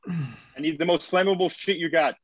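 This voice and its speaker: A man's voice: a little high, fairly even intonation, brisk, lightly acted. A man playing an urgent, commanding colony leader rallying people in a screenplay table read. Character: Lydecker, urgent commanding male leader rallying a frightened crowd. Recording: roomy, dull lo-fi audio.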